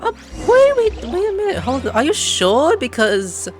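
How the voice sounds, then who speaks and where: A woman's voice: high voice, wildly swooping pitch, normal speed, soft background music. A woman giving casual, playful and exclamatory reactions as she plays through a video game. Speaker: gaming video host. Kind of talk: casual gameplay reaction commentary.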